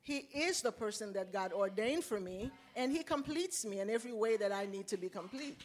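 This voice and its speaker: A woman's voice: mid-range voice, expressive, a little fast, background noise. Earnest woman from Haiti giving testimony. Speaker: ministry leader sharing testimony.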